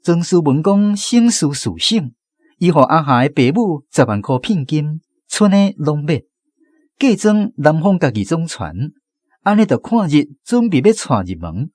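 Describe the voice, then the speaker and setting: Animated Taiwanese male storyteller. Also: a little high, a little slow, no echo, studio quality. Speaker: narrator. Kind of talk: dramatic storytelling narration for radio drama.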